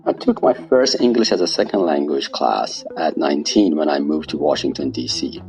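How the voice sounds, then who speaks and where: A man, reading his own personal essay aloud in a measured, wry way. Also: a bass voice, expressive, a little mellow, soft background music, low-quality recording. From Iran. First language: Persian. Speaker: guest storyteller.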